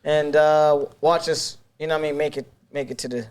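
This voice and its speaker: A man with a somewhat high voice co-hosting a sports podcast. He jokes and teases the others, often repeating his words as he goes. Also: fairly even intonation, brisk, crowd noise. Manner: joking, teasing, repeats himself. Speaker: podcast co-host. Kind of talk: casual sports chat.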